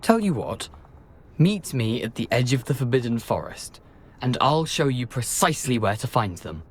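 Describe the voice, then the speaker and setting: A man playing a jaunty, wry gentleman ghost in a video game, with a flamboyant and courteous manner. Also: medium pitch, very animated, normal speed, breathy edge. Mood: droll, breezy.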